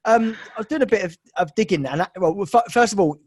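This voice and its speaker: A man's voice: high voice, animated, quick pace. Chatty, joking English male podcast host. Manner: chatty and joking, many fillers and restarts. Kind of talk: casual interview chat with a scripted intro.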